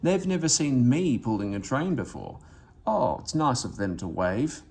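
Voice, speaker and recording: A man's voice: mid-range voice, big pitch swings, unhurried, vocal fry, a little mellow. A man voicing a young male steam engine in a children's storybook, impatient, eager and self-important. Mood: proud, delighted. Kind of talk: children's storybook read-aloud.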